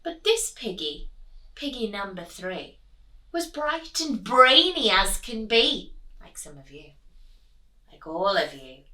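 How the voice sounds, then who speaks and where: A woman teacher reading a rhyming storybook aloud to children in a sing-song, animated way, with little asides to her listeners. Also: medium pitch, takes it slowly, far from the mic. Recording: echoey room, voice from the mic.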